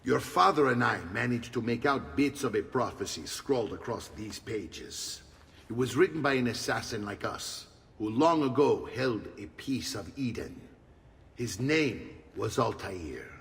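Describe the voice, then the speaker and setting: An actor playing a solemn older Italian uncle and assassin mentor in a game, with an Italian accent and a weighty, paternal storytelling manner. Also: male voice, medium pitch, expressive, measured pace, vocal fry, fairly bright tone, echoey room, in a large hall, distant mic. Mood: mysterious, grave.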